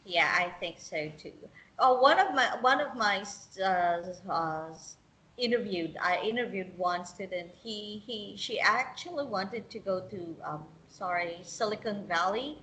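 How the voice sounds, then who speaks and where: A woman's voice: mid-range voice, lively intonation, unhurried, bright, forceful tone, rough recording. A woman interviewing a contestant in English, chatty and encouraging, often laughing. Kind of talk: interview questions, casual.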